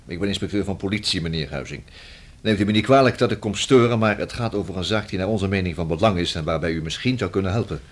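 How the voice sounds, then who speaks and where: An actor playing a polite, persistent police inspector in a radio play, speaking formal, measured Netherlands Dutch. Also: male voice, low voice. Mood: polite, probing. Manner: formal, courteous, measured and insistent.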